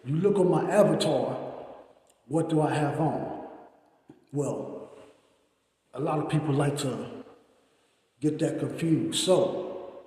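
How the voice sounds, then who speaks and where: A man's voice: fairly high pitch, slow pace, long pauses, warm tone, very echoey, big-room sound. A man from Austin, rambling and repeating himself as he talks casually to camera on his channel. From Austin, Texas. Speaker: YouTuber.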